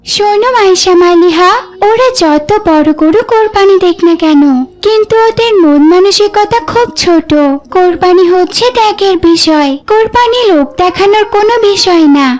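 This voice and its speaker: A woman's voice: very high-pitched, normal speed. A woman voicing a pious, principled young girl who explains and admonishes earnestly in a children's moral cartoon. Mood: earnest, preachy.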